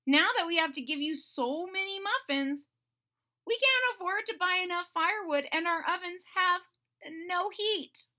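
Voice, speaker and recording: Woman as a high-voiced pleading baker-king. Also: normal speed, pushed and bright. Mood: earnest pleading. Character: poor male baker-king, brave, earnest, pleading. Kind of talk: children's storybook character voice.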